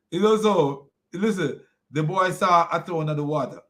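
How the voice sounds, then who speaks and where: A man's voice: a little high, a little slow, a bit bright. A Jamaican man ranting in an online commentary video, mocking and scornful, rambling with many restarts. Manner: mocking and scornful, with repeated insults. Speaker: religious commentary video host.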